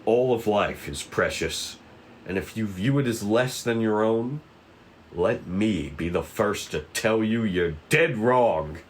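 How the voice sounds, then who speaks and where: A man voicing a proud, self-righteous detective who speaks calmly and formally, in a cartoon script table read. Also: fairly low pitch, takes it slowly, a little hiss or hum. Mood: solemn. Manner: overly serious, formal, preachy. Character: proud, self-righteous male police detective, calm and earnest. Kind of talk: animated series table read.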